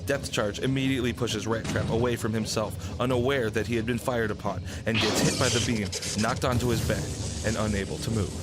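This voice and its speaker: A man narrates a radio drama in a somewhat low voice. The reading is scripted, describing scenes, with loud music running underneath. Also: fairly even intonation, fairly quick, few pauses.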